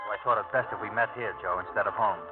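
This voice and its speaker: Actor as fast-talking hard-boiled schemer, old radio. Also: male voice, on the low side, fairly even intonation, lightly acted. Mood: scheming, urgent. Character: shrewd, scheming tough son, smooth with women. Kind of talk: 1940s-50s radio drama acting. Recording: tinny old radio broadcast, music behind.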